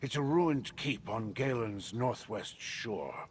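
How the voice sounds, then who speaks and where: An actor playing a proud, wary druid in a fantasy video game, speaking gravely and solemnly with a rough-edged voice. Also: male voice, mid-range voice, expressive, slow. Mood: guarded. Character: wary, proud male druid of an island circle, grave and guarded.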